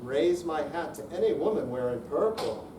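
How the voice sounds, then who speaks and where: A man's voice: fairly high pitch, animated, moderate pace. An older man giving a dramatic amateur reading of humorous verse at a live performance. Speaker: amateur readers theater performer. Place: indoor senior center room, live performance. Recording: roomy, distant mic, noisy hall.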